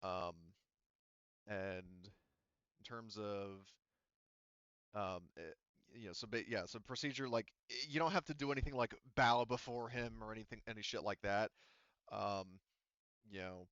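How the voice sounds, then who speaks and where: A man running a tabletop roleplaying game off the cuff as game master, rambling and halting with frequent restarts and asides. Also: on the low side, steady tone, a bit bright, clear, resonant tone, dry recording, muffled recording.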